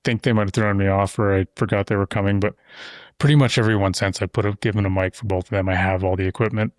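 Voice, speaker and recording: Low-voiced man chatting as podcast host. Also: steady tone, fast talker, no room sound. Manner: talkative, explains at length with loose asides. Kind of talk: casual conversation. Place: insulated shop-building studio. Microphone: close studio mic.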